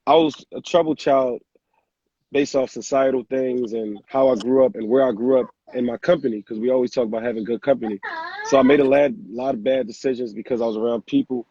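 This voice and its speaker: Young guy from senegal chatting easy on a phone live stream, husky and mellow, kinda muffled audio. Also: mid-range voice, a little fast, no room sound. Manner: relaxed, conversational, plain-spoken. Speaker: guest speaker, entrepreneur. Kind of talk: casual live-stream interview. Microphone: phone camera on a live video stream.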